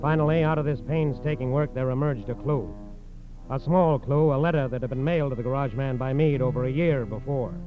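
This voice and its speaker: An American man giving grave, deliberate scripted narration for a 1940s radio crime drama. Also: mid-range voice. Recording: very muffled vintage radio recording. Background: music.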